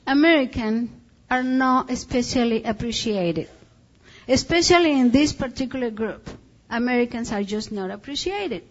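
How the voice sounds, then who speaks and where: A woman's voice: a little high, lively intonation, moderate pace, highs cut off. A woman from Colombia with a Colombian Spanish accent, giving a missionary report talk and often stopping to search for English words.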